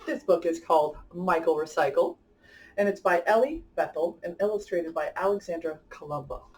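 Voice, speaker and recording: A woman's voice: on the low side, normal speed, a little mellow. A woman speaks from a little way off the mic as a children's story-time host. She is enthusiastic and talks straight to her young audience, whether reading a picture book or chatting. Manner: enthusiastic, addressing children directly. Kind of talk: picture-book read-aloud and chat for children. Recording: distant from the mic.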